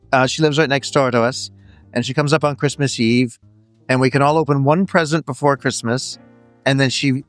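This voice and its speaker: Easygoing mid-pitched man. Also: fairly bright tone. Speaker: guest sharing a tradition. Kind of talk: casual personal account.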